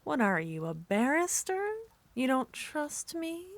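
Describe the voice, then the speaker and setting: A woman's voice: high-pitched, big pitch swings, slow pace, no room sound. A woman playing a teasing jealous witch. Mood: haughty. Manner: soft-spoken, teasing, often whispering. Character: jealous possessive young witch, teasing and haughty. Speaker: ASMR roleplay performer. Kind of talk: ASMR roleplay.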